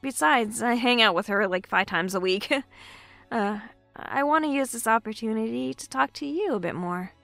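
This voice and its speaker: A woman's voice: a little high, expressive, normal speed, vocal fry, a bit bright, no echo, faint music behind. An actress playing an anxious, friendly young woman with a hesitant delivery in a naturalistic audio drama. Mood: friendly, eager.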